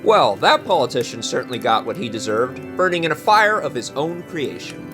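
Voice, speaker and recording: A man's voice: mid-range voice, very animated, normal speed. A man hosting a show, giving casual review commentary to his viewers. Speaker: host and reviewer. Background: music.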